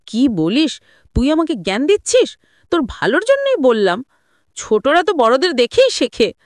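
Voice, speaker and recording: Woman acting a high-voiced, scolding middle-aged mother. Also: huge pitch swings, moderate pace, no echo, clean studio sound. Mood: angry. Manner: scolding, nagging. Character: domineering, resentful middle-aged mother and grandmother, snobbish about village life.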